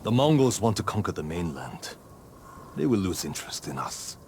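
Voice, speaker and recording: A man playing a proud, embittered clan leader in a video game, speaking with slow, cold disdain. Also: low-pitched, very animated, a little mellow, slight background noise. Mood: cold, dismissive. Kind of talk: dramatic video game acting.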